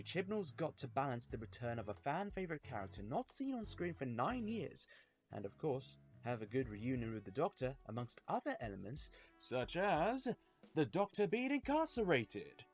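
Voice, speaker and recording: A man delivers scripted commentary to viewers as a video host, leaning dramatically on key words. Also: mid-range voice. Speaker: YouTube reviewer and host.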